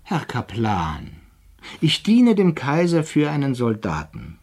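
Roguish soldier, husky mid-pitched voice, archaic storytelling, radio drama, very dry sound. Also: male voice, wildly swooping pitch, slow pace, darkish tone, no echo, full character voice. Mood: defiant. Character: roguish, godless musketeer recounting his wretched life. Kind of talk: German radio drama acting, archaic first-person narration.

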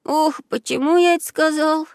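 A woman voicing lively, curious young children in a storybook, with an eager, childlike manner. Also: sits high, normal speed, dry recording, studio quality, pitched above the performer's own voice. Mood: blurting, dismayed. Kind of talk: children's audio storybook character voice.

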